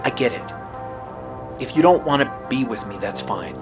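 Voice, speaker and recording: A man's voice: mid-range voice, expressive, normal speed, over music, lightly acted. A man playing an earnest, stubborn young rookie radio DJ in an audio horror drama, sometimes hesitant and pleading. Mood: earnest, determined. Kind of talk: dramatic audio horror acting, naturalistic dialogue.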